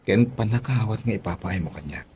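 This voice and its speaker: A man's voice: on the low side, lively intonation, a little fast, warm tone, a little hiss or hum, natural voice. A man playing a sweet-talking, proud young boyfriend in a Filipino radio drama, crooning and a little hesitant. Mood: romantic.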